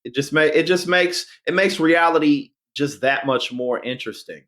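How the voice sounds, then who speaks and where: A man's voice: on the high side, normal speed, fairly bright tone. A man co-hosting a podcast, talking things through in a thoughtful, musing way. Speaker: podcast co-host. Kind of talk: casual podcast chat.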